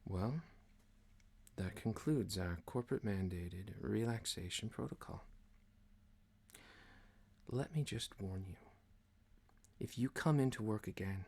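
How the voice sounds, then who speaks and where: Man playing a stern, gravelly corporate boss. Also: lowish voice, slow, pauses often, darkish tone, heavily acted. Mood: officious, stern. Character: stern male corporate boss, dryly menacing yet caring. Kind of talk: ASMR roleplay.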